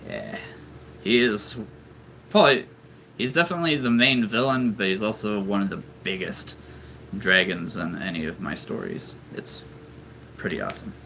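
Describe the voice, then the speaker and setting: A man with a very deep voice, chatting casually and rambling on a live stream as a toy-collecting channel host. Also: lively intonation, moderate pace, gravelly, pauses often, pushed and bright, slight background noise, rough recording. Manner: chatty and rambling, laughs often.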